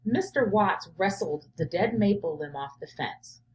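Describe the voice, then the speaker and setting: A woman teacher reading a picture book aloud and pausing to reflect on it out loud, often checking in with "right?". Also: mid-range voice, animated. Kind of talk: read-aloud of a picture book with think-aloud commentary.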